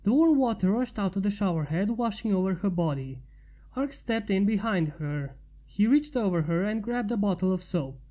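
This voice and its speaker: A woman's voice: mid-range voice, expressive, moderate pace, dark tone, rough recording. A woman reading fan fiction aloud as scripted narration.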